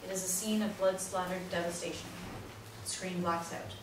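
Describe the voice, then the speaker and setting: A woman's voice: on the low side, fairly even intonation, moderate pace. A woman narrator reading scripted stage directions at a play reading, recorded in an echoey room. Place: echoey indoor room, far from mic. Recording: very echoey room, distant mic, noisy.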